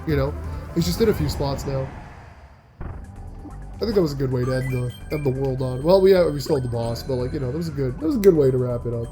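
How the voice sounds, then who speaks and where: A man's voice: medium pitch, fast. Chatty young man over game music. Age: young adult. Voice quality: slightly nasal. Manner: rambling, chatty, frequent restarts and filler likes. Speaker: gaming channel host. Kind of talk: casual gameplay commentary.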